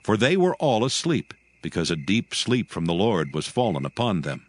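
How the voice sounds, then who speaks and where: Low, expressive male Bible narrator. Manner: solemn, measured storytelling. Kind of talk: scripted dramatized Bible narration.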